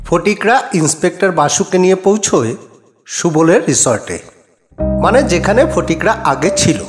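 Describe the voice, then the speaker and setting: Male narrator for audio detective fiction: higher-set voice, expressive pitch movement, scripted storytelling with music underneath. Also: normal speed.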